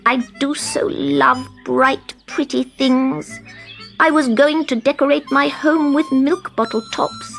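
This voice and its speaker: A greedy, vain magpie from a children's tale, put on by a woman. The voice is raised high and lingers covetously over its words, gushing with self-regard. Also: slow, creaky, a bit bright, faint music behind, heavily acted. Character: vain, greedy magpie who loves shiny things.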